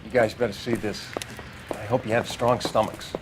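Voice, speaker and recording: A man's voice: on the low side, little pitch movement, normal speed, background noise, lightly acted. An actor playing a plain-spoken male official at a crime scene in a 1940s detective video game. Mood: grim.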